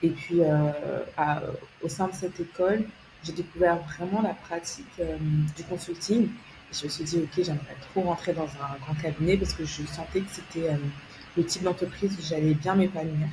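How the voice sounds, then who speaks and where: A woman's voice: lowish voice, fairly even intonation, moderate pace, background noise. A young woman from France, a guest telling her story in an interview, hesitant, with many fillers and restarts, laughing easily. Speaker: guest, tech founder and consultant.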